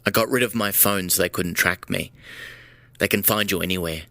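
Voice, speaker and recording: A deep, tense, remorseful fugitive man. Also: steady tone, normal speed, gravelly, sharp tone, no room sound, exaggerated voice. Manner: confessional, earnest. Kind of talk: audio drama dialogue.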